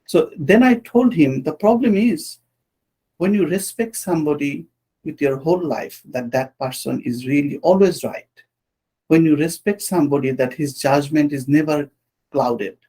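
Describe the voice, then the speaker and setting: Male host from Bangladesh, husky, raised voice, halting, earnest. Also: on the high side, dark tone, rough recording. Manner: earnest, halting with restarts and fillers. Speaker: podcast host. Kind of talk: conversational interview.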